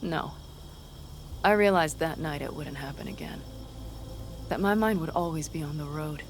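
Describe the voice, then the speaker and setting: A woman's voice: low voice, normal speed, a little hiss or hum. An actress playing a tough but anxious young woman nomad mercenary in a video game, earnest and blunt. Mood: pensive. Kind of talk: dramatic video game acting. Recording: music behind the voice.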